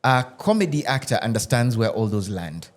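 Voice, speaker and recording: A man, a podcast guest who tells animated stories in casual roundtable chat, often restarting and laughing. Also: mid-range voice, fairly quick. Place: podcast studio.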